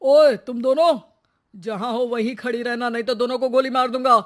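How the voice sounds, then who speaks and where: A performer voicing a mocking, threatening male burglar in an animated story, sneering and jeering. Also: mid-range voice, moderate pace, background noise, natural voice. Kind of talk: Hindi animated story dialogue.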